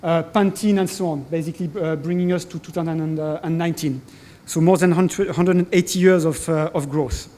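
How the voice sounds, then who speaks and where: A man's voice: a little high, fairly even intonation, moderate pace, mellow. A man in his forties with a French accent, giving a conference presentation as a corporate speaker, hesitant with many fillers and restarts. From France. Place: conference hall.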